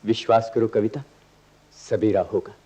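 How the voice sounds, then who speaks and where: A man's voice: fairly low pitch, steady tone, unhurried, dark tone, husky. An actor playing an earnest, idealistic young man in a radio play, speaking in lofty, poetic, declamatory lines. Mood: idealistic, pleading. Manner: lofty, declamatory, literary diction. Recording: dull archival radio recording.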